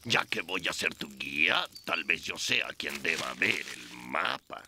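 An actor voicing a sly, cowardly buzzard crook in a dubbed cartoon, theatrical and excitable. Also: male voice, rumbling low, measured pace, gravelly, background noise, exaggerated voice. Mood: slick, scheming. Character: scheming, cowardly male buzzard crook, swindling shopkeeper. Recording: cartoon music under the voice.

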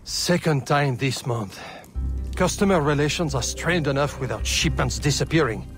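A man's voice: medium pitch, moderate pace, natural voice. An actor playing a fussy, affectionate old merchant father in a video game, courtly and wordy. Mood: troubled. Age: older. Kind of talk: video game cutscene acting. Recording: music behind the voice.

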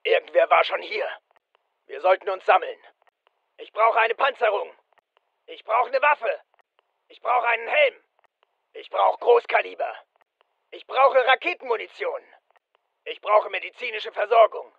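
Ein Mann spielt einen wachsamen Soldaten in einem Militärshooter, der knappe, befehlende und drängende Kampfmeldungen ruft. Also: male voice, sits high, measured pace, creaky, long pauses, dry recording, lo-fi sound, heavily acted. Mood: firm, controlled. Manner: clipped, commanding, urgent. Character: alert male soldier barking urgent battlefield callouts. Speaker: voice actor in a dubbed military shooter. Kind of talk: military combat callouts, short barked lines.

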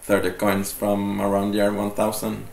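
Slow-talking gravelly man. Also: on the low side. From Finland. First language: Swedish. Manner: relaxed, slow, reads out comments. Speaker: co-host of a live stream. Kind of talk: casual live stream chat, reading viewer comments. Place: small indoor room, seated on a sofa. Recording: roomy with faint ambient noise.

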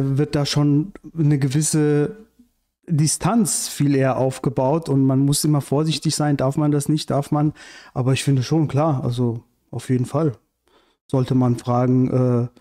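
Man from Iran, hesitant, many fillers, mellow warm voice, a bit high. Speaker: podcast guest. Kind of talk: casual interview chat. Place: bright living room with large windows. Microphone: studio mic on boom arm, headphones.

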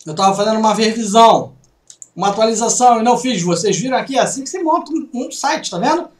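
A middle-aged Brazilian man with a Brazilian Portuguese accent, casually reading and joking through gaming news on a home webcam vlog. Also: quick pace. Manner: chatty, jokey asides, lively swings in pitch. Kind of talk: casual news commentary over screen share. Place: small room at home. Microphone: webcam in corner. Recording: roomy, distant from mic.

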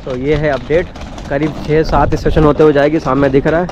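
A man's voice: fairly high pitch, flat delivery, a little fast, highs cut off. A man in his thirties from India, chatting casually to viewers as he vlogs a train journey, filmed on a handheld action camera. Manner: chatty, addresses viewers as brother. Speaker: travel vlogger. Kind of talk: casual travel vlog narration. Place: train and outdoor station platform. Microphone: action camera held at arm's length. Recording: loud train and outdoor noise.

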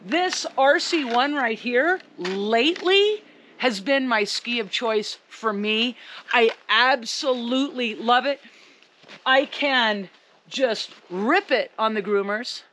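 A woman's voice: on the high side, takes it slowly, a little hiss or hum. A woman ski coach speaking emphatically to camera outdoors in the snow. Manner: emphatic, upbeat, loud. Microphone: camera a few meters away.

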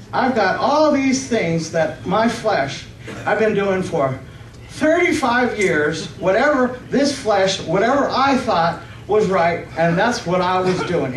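A middle-aged man preaching and reading scripture in a halting, slightly nervous way, often correcting himself and asking for amens. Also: high voice. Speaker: preacher at a church conference. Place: church hall stage, indoors. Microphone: stand microphone, picked up by far camera. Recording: roomy, distant microphone with faint noise.

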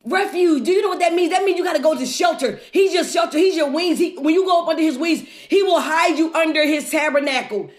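Very high-pitched, fervent woman preacher. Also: quick pace, few pauses. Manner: fervent, exhorting, repetitive rhetorical questions. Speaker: preacher leading a livestream devotional. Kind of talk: exuberant devotional sermon. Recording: echoey, reverberant room.

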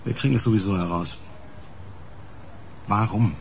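An actor playing a stern, impatient police interrogator in a radio crime drama, pressing with accusing insistence. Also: male voice, fairly low pitch, normal speed, gravelly, slight background noise.

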